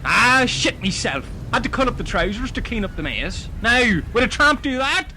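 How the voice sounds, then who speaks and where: Man voicing a twitchy Irish castaway, bright, lively pitch. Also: moderate pace, slight background noise, heavily acted. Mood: pleading. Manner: hot-headed, swearing.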